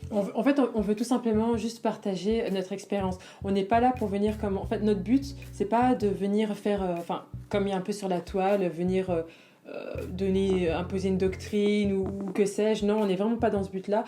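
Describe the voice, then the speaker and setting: Mellow-voiced woman in her twenties. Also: mid-range voice, steady tone, normal speed, soft background music. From Belgium. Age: 20s. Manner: hesitant, many fillers and repeated words, laughs easily. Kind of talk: spontaneous casual chat.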